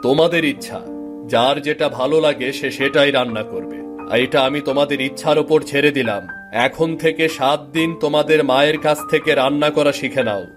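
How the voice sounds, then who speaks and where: A man playing a middle-aged village father in a cartoon folktale, genial but firm, speaking in an emphatic, deliberate way. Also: a little high, steady tone, lightly acted. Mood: firm, instructing. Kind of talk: animated folktale dialogue acting. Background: background music.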